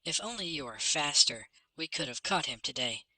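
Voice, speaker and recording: A man's voice: on the high side, lively intonation, moderate pace, sharp tone, no echo, pitched above the performer's own voice, full character voice, low-quality recording. A man voicing a bossy, scornful young male werewolf with a taunting, boastful manner in a fantasy audiobook.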